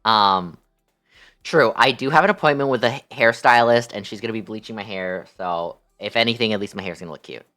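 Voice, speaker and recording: A man's voice: fairly low pitch, brisk, pushed and bright, soft background music. A young man livestreaming, chatting casually with his viewers in a playful, affectionate manner.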